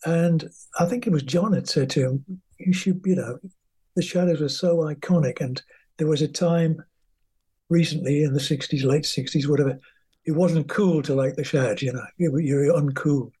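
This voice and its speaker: A man's voice: fairly high pitch, normal speed, warm tone, husky. An older man from England, a guest musician telling rambling, chatty stories about his career in an interview. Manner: rambling, chatty, acts out quoted voices. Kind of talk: anecdotal interview storytelling.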